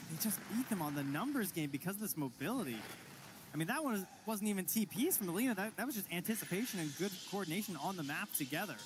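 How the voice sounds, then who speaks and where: Fast-talking male esports analyst over event noise. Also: high voice, lively intonation. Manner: analytical, explanatory. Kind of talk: live esports match commentary.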